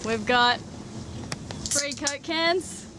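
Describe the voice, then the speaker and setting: Chatty woman, light and high. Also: extremely slow, background noise. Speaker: vlog host. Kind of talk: casual travel vlog narration. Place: on a sailboat and beach outdoors. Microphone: action camera.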